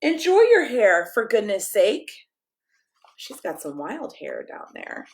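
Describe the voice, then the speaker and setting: A woman's voice: medium pitch, wildly swooping pitch, takes it slowly. A middle-aged American woman, a pastor, reading to children in a playful, sing-song way and chatting directly with them. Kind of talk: children's story time, expressive read-aloud and casual chat.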